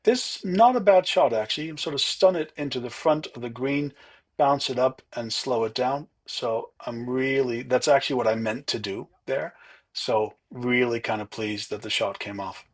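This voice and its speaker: A man narrating his own golf round in a relaxed, chatty, self-deprecating way. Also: mid-range voice, normal speed. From not from Ireland. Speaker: golf vlogger. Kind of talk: casual voice-over commentary.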